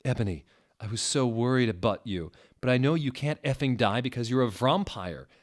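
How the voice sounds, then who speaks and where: Guy doing a fretful protective witch lady, earnest, voice lifted up high. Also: expressive, normal speed, natural voice. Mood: relieved, worried.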